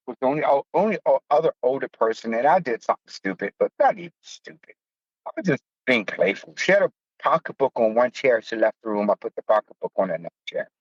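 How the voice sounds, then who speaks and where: A man's voice: medium pitch, no room sound, highs cut off. A man in his fifties from the United States, a podcast host telling a personal story in a blunt, profane, self-mocking way. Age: 50s. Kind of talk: casual storytelling.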